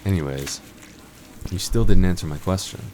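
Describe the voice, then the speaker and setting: A man acts out a young male vampire working the night shift, with an extremely low voice. He is laid-back and dryly teasing, stumbling slightly over his words in close, casual ASMR roleplay dialogue. Some noisy ambience sits behind him. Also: normal speed, a little mellow, close to an everyday voice. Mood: sincere, friendly. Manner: hesitant, stammering, dryly teasing.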